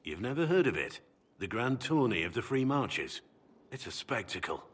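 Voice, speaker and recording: A man playing a brooding, honourable middle-aged warrior in a video game, with measured, earnest delivery. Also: mid-range voice, big pitch swings, breathy edge. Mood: animated, nostalgic. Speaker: video game character voice actor. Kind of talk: dramatic game dialogue.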